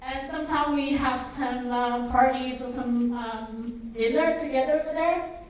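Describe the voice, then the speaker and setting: A woman in her thirties from Vietnam speaks at a tech conference in a bright, high voice. She talks in a hesitant way, full of ums and likes. Her voice comes from some distance and rings around a very reverberant hall. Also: fairly even intonation, unhurried. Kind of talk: conference talk. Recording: echoey hall, mic far off.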